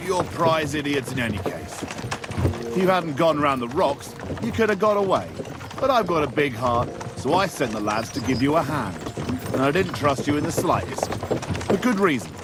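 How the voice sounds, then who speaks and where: An actor playing a scornful, defiant medieval gang boss in a video game, blunt and mocking. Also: male voice, on the high side, very animated, moderate pace, heavy background noise. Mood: contemptuous. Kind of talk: video game drama acting. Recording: loud outdoor cart and hoof ambience.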